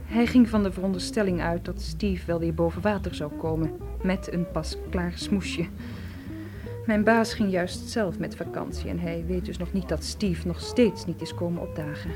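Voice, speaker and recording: A woman's voice: fairly low pitch, moderate pace, music in the background, close to an everyday voice. An actress playing an anxious, evasive female tour guide in a radio drama, explaining herself hesitantly and defensively. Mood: anxious, confessing.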